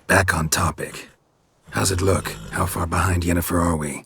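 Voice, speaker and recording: An actor playing a weary, stoic monster hunter in a video game, gruff and gravelly, with terse deadpan delivery. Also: male voice, moderate pace, a little hiss or hum, heavily acted. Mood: dry, guarded. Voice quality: gravelly, rasping low voice. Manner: terse, deadpan, clipped sentences.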